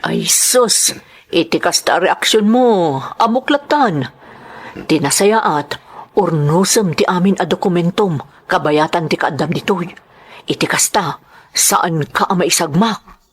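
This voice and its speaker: A woman's voice: on the low side, expressive, takes it slowly, slightly rough, full character voice. Woman acting a frank, wary migrant co-worker. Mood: frank, cautioning. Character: wary, knowing female migrant co-worker warning a newcomer. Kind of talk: radio drama dialogue.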